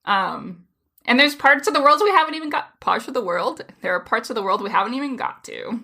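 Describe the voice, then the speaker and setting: A woman's voice: fairly high pitch, animated, bright, forceful tone. A woman from the US Southwest, co-hosting a podcast and laughing often. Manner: giggly, quick to laugh. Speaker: podcast co-host. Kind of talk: casual chat.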